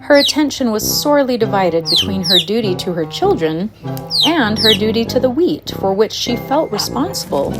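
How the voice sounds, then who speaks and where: A woman narrating a scripted children's fairytale with an expressive storybook delivery. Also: mid-range voice, normal speed. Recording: music behind the narration. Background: music.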